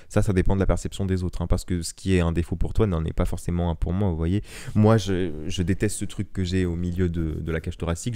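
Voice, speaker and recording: A man's voice: sits low, mellow. A man in his early twenties hosting a solo podcast, talking earnestly and with passion, with frequent restarts and fillers. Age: young adult. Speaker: podcast host.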